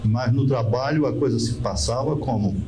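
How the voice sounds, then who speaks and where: A man in his eighties from Northeast Brazil with a Northeastern Brazilian accent, recalling his past slowly and reflectively in an oral-history interview. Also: mid-range voice, crowd noise, rough recording. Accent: Northeastern Brazilian Portuguese. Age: 80s. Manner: slow, measured, reflective with pauses. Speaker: architect recounting memories.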